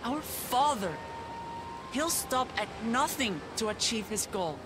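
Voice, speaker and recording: An actress playing an earnest young female ruler in a video game cutscene, speaking in a measured, formal and resolute way. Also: a little high, lively intonation, noisy background. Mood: grave resolve. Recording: orchestral music behind the voice.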